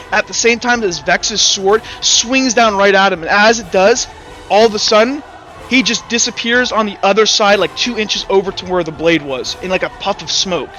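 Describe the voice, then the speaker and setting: A man acting as game master, narrating and explaining rules in a halting, self-correcting way. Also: sits high, pushed and bright, poor audio. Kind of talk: improvised tabletop game narration and rules talk.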